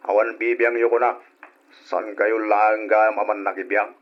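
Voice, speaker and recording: A man's voice: fairly low pitch, level tone, measured pace, lightly acted. A man acting an abrasive, bossy, hard-drinking husband and father in an old radio drama, scornful and barking orders. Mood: defiant, angry. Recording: dull lo-fi radio drama recording.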